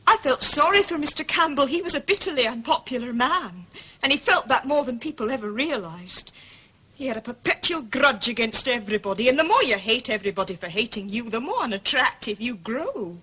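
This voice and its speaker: An actress playing a prim, brittle, self-righteous married woman in a period detective drama, earnest and defensive, with a breathy edge. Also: sits high, pushed and bright, exaggerated voice.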